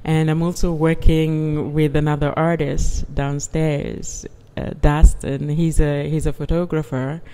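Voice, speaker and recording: Guest artist, woman from East Africa, mellow and soft-spoken, reverberant room sound. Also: low-pitched, little pitch movement, a little slow, hardly any pauses, clean tone. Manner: soft-spoken, repeats yes often. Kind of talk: interview conversation. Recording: long reverb.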